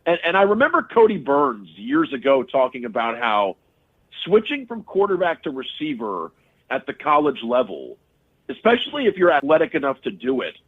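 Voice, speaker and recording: A man calls in as a sports radio guest, his voice squeezed through a thin, tinny phone line. He talks analytically at a middle pitch, often circling back and restarting phrases mid-thought. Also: wildly swooping pitch, moderate pace, husky. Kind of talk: casual sports interview.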